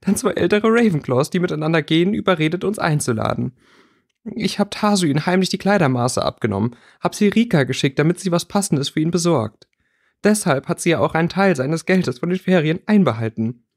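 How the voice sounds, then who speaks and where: A man's voice: a little high, animated, fairly quick, pitched above the performer's own voice. A man voicing an upset, tearful and indignant young schoolgirl in a plaintive storybook reading.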